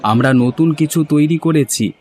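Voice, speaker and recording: A man's voice: fairly high pitch, a little slow, a little mellow, slight background noise, lightly acted. A man voicing a proud, earnest village man in a cartoon, formal and promotional as he welcomes people. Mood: proud, welcoming. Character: proud, earnest village man launching a hilsa fish fair. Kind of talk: scripted cartoon dubbing.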